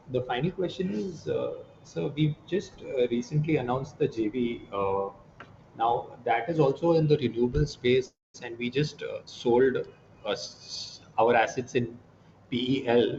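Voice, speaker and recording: A man with an Indian accent asking questions as an investment analyst on a conference call, polite and hesitant in his phrasing. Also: mid-range voice. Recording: muffled, noisy conference line.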